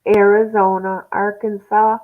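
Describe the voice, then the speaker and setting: A woman's voice: mid-range voice, little pitch movement, measured pace, phone-quality sound. A woman in casual chat who recites lists from memory in an easygoing way.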